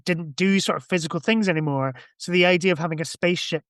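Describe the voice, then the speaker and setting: A man's voice: sits high, quick pace, fairly bright tone, no room sound, studio quality. A man chatting casually in a rambling, hedging way, as a guest on a podcast. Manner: hedging, rambling, full of kinda and sort of. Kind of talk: casual chat about science fiction books.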